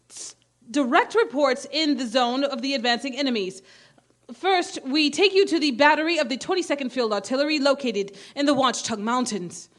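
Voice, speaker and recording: A high-voiced female news announcer in an old-time radio drama. She reads each bulletin formally and in clipped phrases, with earnest urgency.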